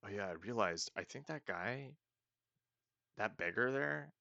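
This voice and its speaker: Gravelly low-voiced man playing a game. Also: lively intonation, measured pace, bright, forceful tone, no room sound, highs cut off. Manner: rambling, hedging, thinking aloud. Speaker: let's play host. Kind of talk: casual gameplay commentary.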